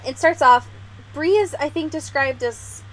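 A woman's voice: high-pitched, a little slow, low-quality recording. A young woman hosting a podcast in a casual, informal, giggly way, with slang and swearing. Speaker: podcast host. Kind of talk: casual chat.

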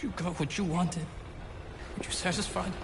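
A performer playing a frightened, pleading young boy held prisoner in a game drama, halting and emotional, on a cassette recording. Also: mid-range voice, steady tone, natural voice. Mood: reluctant, bitter. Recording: noisy cassette tape recording.

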